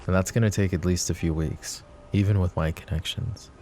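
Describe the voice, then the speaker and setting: A man playing a possessive young adult mafia boss in an ASMR roleplay, speaking low and measured to an unheard listener. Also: fairly even intonation, dark tone, soft background music, lightly acted. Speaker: voice actor.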